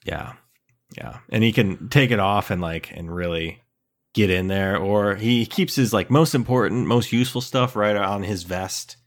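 A thirty-year-old man with a lowish voice talks in a relaxed, joking way, adding his own ideas to his friend's. He sounds easygoing and unhurried. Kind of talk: casual brainstorming chat.

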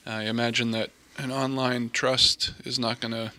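American male expert guest, level intonation, sharp bright timbre, informal and matter-of-fact conversational delivery. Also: medium pitch, level tone, moderate pace. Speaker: estate planning lawyer, interview guest.

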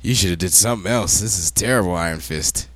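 A man's voice: low voice, fast, no echo. A man co-hosting a podcast in casual banter, talking in slang with plenty of swearing. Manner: slangy, heavily profane. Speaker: podcast co-host.

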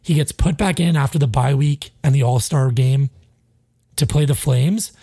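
A man's voice: mid-range voice, moderate pace, mellow, husky, no echo, clean studio sound. A man co-hosting a casual sports podcast, ranting bluntly and with frustration, swearing freely and sounding a bit stuffy. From Canada. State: stuffy, sinusy. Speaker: podcast co-host.

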